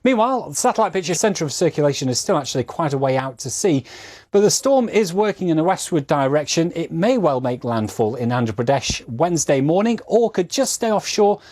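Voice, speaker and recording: A man presenting a weather forecast in a steady, explanatory way. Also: fairly high pitch, fairly quick.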